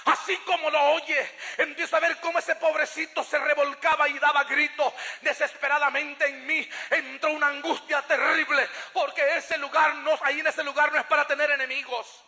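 Male preacher, very high-pitched, narrow melodic range, emphatic storytelling testimony, long hall reverb. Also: little pitch movement, moderate pace, vocal fry, hardly any pauses, pushed and bright. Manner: emphatic, repeats phrases, acts out dialogue. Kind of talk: fervent sermon testimony, dramatic storytelling.

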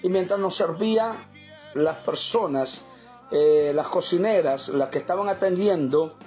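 High-voiced fervent Salvadoran preacher. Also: male voice, measured pace, darkish tone, soft background music. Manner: fervent, repetitive preaching, addresses listeners as brothers. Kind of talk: sermon.

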